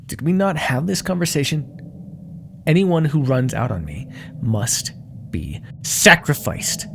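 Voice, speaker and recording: A man's voice: on the high side, very animated, unhurried, creaky, a bit bright, slight background noise, full character voice. A man voicing a vain, menacing demon crime lord in an ASMR audio roleplay, silky, theatrical and condescending, with cool threats under mock affection. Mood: haughty, irritated.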